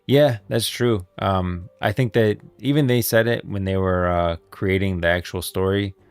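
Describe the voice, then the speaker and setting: A man's voice: low-pitched, steady tone, moderate pace, smooth, no echo. A man hosting a livestream, talking casually about game lore and rambling as he thinks aloud. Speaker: livestream host.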